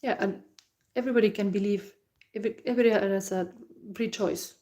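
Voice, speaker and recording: A woman with a Finnish accent, a non-native English speaker, giving a calm, unhurried psychic reading and chatting loosely with viewers, using many fillers. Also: mid-range voice. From Finland. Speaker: psychic card reader. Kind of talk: live psychic reading and comment chat.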